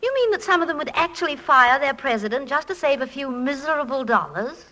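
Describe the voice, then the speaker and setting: Bright, high-voiced devoted wife. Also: female voice, big pitch swings, fairly quick, exaggerated voice. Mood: delighted, incredulous. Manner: theatrical, affectionate. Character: devoted, playful, naive wife of college president. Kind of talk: 1940s radio comedy-drama acting. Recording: faintly noisy 1940s radio recording.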